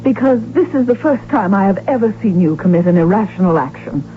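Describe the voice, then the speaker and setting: A woman's voice: medium pitch, lively intonation, fairly quick, lightly acted. An actress in a radio drama playing a prince's devoted, anxious, strong-willed wife, emphatic and theatrical in her delivery. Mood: indignant, determined. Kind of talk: 1970s radio drama acting. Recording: tinny, noisy old radio broadcast recording.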